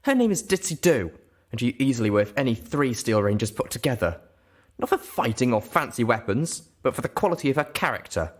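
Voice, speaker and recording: A man's voice: medium pitch, sing-song, brisk, pitched above the performer's own voice. A man voicing a young female pony, fiercely loyal and indignant, spitting the words out emphatically. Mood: angry, indignant.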